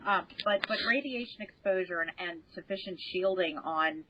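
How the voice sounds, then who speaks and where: A woman speaking as an expert guest in an unscripted podcast discussion, explaining in a measured, think-aloud way. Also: fairly low pitch, a crowd in the background. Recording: dull, band-limited audio.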